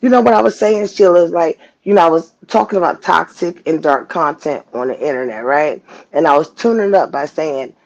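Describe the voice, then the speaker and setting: A woman's voice: low voice, a little fast, a little mellow, lo-fi sound. A woman hosting a live stream on a headset mic, talking casually and preachily, calling her guests sis and baby. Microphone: headset microphone.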